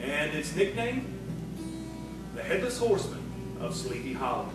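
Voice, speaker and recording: American guy narrating a solemn tale, measured, far from the mic in a really echoey room, music behind. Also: a little high, big pitch swings. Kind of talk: scripted narration.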